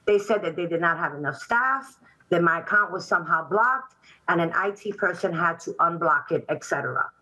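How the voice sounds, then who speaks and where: A woman in her forties reads a prepared statement at a public hearing, her tone bright and crisp. She speaks in measured, earnest phrases into a webcam from a room at home. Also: on the low side, expressive, poor audio. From Puerto Rico, raised in New York City. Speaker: client testifying at a public hearing. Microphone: video call webcam.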